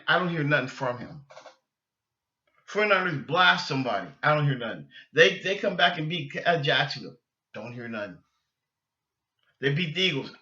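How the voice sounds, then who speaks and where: A man hosting a sports fan podcast, ranting off the cuff in a halting, repetitive, exasperated way. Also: high-pitched, pushed and bright. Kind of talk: unscripted sports fan rant. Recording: dull lo-fi sound, echoey room.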